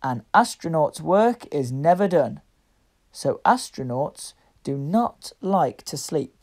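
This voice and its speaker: A woman reading a picture book aloud to young children, with deliberate, expressive phrasing. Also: sits low. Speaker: children's story reader.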